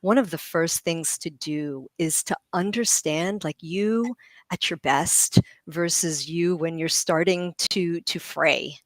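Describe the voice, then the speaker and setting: Brief: middle-aged woman guest, lowish voice, lively explainer, dry close mic. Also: slow pace, no echo. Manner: animated, laughs often, vivid analogies. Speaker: guest speaker on leadership. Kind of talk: livestream panel talk.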